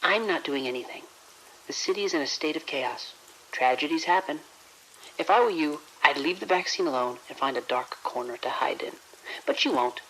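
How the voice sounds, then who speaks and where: A woman playing a cold, quietly menacing corporate villain in a horror audio drama, speaking with measured condescension. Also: low voice, expressive, husky, exaggerated voice, rough recording. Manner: condescending, measured, veiled threats.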